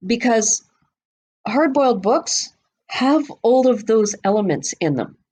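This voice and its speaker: A woman's voice: fairly high pitch, animated, slow, darkish tone, husky. A woman speaking as a podcast guest, explaining things conversationally in an expansive, knowledgeable way. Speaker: guest expert on a book podcast. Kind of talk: conversational explanation of literary history.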